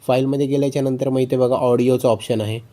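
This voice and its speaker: Mellow-voiced man giving instructions. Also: mid-range voice, fairly even intonation, fast talker. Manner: instructional, repeats steps and check phrases. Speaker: tutorial host. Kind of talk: phone tutorial narration.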